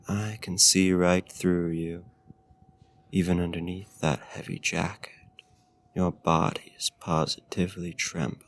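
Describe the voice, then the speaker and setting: Man playing a slow, deep, courtly vampire. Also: level tone. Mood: coaxing, menacing. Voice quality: low, gravelly. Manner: formal, archaic, courteous and flirtatious phrasing. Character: courtly male vampire host, smooth, gently menacing and seductive. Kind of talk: ASMR roleplay, slow and intimate.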